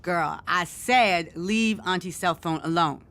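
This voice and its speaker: A woman's voice: fairly low pitch, slow pace, a bit bright. A woman playing a fussing American mother in a radio play, folksy, bossy with her child and eager to please. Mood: exasperated. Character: fussing, grateful mother, deferential younger sister, scolding her daughter. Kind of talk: naturalistic radio play acting.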